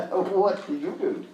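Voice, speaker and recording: An actor playing a flustered, falsely cheery children's TV host who stammers and hems, in a live radio-style comedy sketch. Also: male voice, sits high, dark tone, dull audio. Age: middle-aged or older. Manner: stammering, hemming and hawing, exaggerated. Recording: roomy live stage, distant mic, faint noise.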